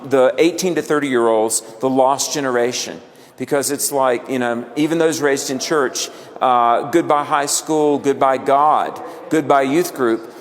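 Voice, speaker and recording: A man giving an interview answer in a deliberate, explanatory way. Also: mid-range voice, long reverb tail.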